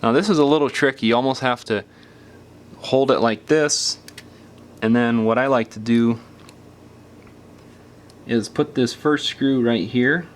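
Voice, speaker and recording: A man's voice: medium pitch, a little slow, long pauses. A man giving casual, step-by-step hands-on instructions as a repair tutorial presenter, patiently explaining in an informal, folksy way.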